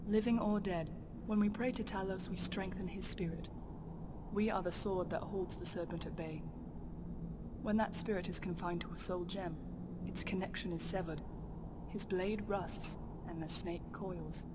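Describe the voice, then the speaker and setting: Woman playing a composed, formal High Elf leader, noisy background. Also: steady tone, close to an everyday voice. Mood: solemn. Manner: formal, measured, prudent. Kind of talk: video game character dialogue. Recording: clear background game ambience noise.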